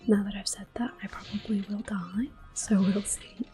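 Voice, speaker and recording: A woman giving gentle, unhurried ASMR gaming commentary in a soft, breathy, often whispered voice, close to the microphone. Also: medium pitch, fairly even intonation, soft background music. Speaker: gameplay host.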